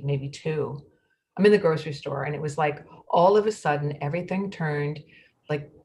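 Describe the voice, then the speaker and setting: A woman reading a monologue in a plain conversational way about being caught out by a storm. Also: low-pitched. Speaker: reader performing a monologue. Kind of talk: readers theatre monologue.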